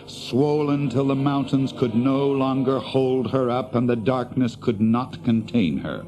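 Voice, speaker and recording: A man narrating a dramatised fantasy tale in a grave, ominous, deliberate manner. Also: medium pitch, crowd noise. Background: music.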